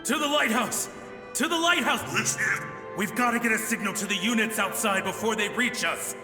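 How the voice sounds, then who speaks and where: A man voicing the ghost of a lighthouse-keeping soldier in a video game, folksy and chatty when calm and barking frantic orders when alarmed. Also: sits high, moderate pace, close to an everyday voice. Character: ghost of a male soldier lighthouse keeper, friendly then panicked. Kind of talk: game voice acting. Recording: echoey ghostly reverb. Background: game music.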